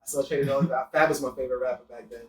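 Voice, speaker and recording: Casual male guest from Trinidad, quick talker, off-mic in an echoey room with faint guitar behind. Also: medium pitch, far from the mic, over a noisy crowd. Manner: fast, relaxed, lots of "like". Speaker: guest rapper. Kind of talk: casual podcast chat.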